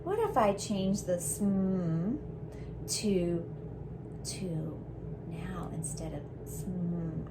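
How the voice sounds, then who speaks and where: A woman's voice: medium pitch, noisy background. A woman teaching rhyming to young children, sounding things out carefully and prompting with questions. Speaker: children's read-aloud teacher.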